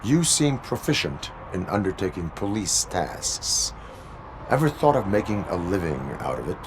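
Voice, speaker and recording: Game role: stern robot policeman, lowish, formal and deadpan, over noticeable street noise. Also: normal speed. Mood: dutiful. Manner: formal, clipped, deadpan wording. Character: stern, dutiful male cyborg police officer. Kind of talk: video game cutscene acting.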